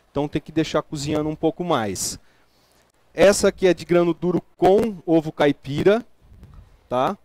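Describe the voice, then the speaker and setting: Chef with a fairly high voice, dry sound, walking an audience through a recipe. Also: male voice, darkish tone, no echo. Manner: explanatory, with tag questions. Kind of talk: cooking demonstration.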